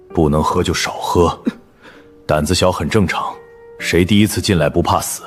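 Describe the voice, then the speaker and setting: Blunt, hearty team veteran, low lively voice male actor. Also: slow, full character voice. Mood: blunt, advising. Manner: blunt, chatty, slangy. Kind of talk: animated web drama dialogue. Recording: background music under dialogue.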